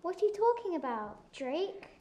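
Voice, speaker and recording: A woman's voice: extremely high pitch, big pitch swings, moderate pace, warm tone. A female performer playing a friendly, plucky young orphan girl in a wartime drama, earnest and outspoken. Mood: upset, defiant.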